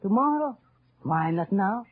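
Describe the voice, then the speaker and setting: An actress playing a brisk, bold French resistance charwoman with a French accent and a husky voice, in old radio drama style. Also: mid-range voice, expressive, dry recording. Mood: brisk, conspiratorial. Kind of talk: 1940s-50s radio drama acting. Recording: muffled old radio recording.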